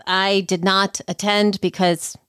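A woman's voice: medium pitch, level tone, slow, fairly bright tone, smooth, dry recording, clean studio sound. A woman chatting casually as a podcast host, with an enthusiastic, emphatic way of talking.

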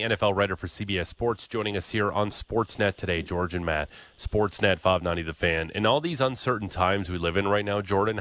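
A man's voice: on the low side, fairly bright tone, dry recording. Male radio host, dry studio sound, playful emphasis. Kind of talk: sports radio talk.

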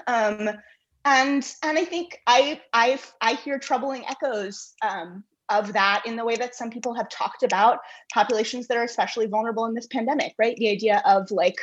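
A woman guest writer giving earnest, reflective interview answers in halting, carefully built phrases. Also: a little high, steady tone, slightly rough, highs cut off.

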